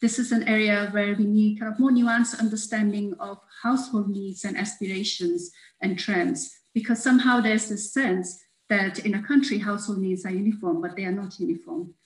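A woman's voice: medium pitch, fairly even intonation. Thoughtful woman academic in an echoey room. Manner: careful, deliberate, thoughtful. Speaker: panelist, academic. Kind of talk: online panel discussion. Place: home room. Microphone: video call.

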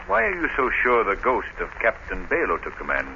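An actor playing a cool, inquisitive, wealthy gentleman in polished 1930s radio drama style. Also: on the low side, huge pitch swings, brisk, gravelly, full character voice. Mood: curious. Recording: dull, noisy 1930s radio recording.

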